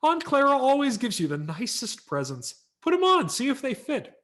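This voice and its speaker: A man plays a doting storybook mother, lifted high in pitch. She gushes and fusses over her children. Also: huge pitch swings, normal speed, a bit bright, heavily acted. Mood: gushing. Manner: gushing, fussy. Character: fussy, doting mother in a 1930s household.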